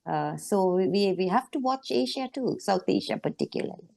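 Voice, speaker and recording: A woman's voice: mid-range voice, lively intonation, moderate pace, creaky, warm tone. A woman from Sri Lanka speaking as a panel guest in an online discussion, earnest and emphatic, with frequent fillers.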